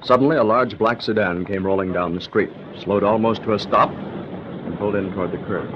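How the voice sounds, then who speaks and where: A man's voice: lowish voice, brisk. A man announcing and narrating in a dramatic, emphatic 1940s radio style, theatrical and scripted. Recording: dull, noisy old radio recording.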